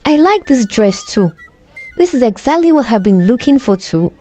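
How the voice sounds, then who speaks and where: A woman's voice: on the high side, very animated, moderate pace, mellow, slight background noise. A woman voicing a jealous, demanding young twin sister with an insistent manner, in a folktale. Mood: eager, grabbing.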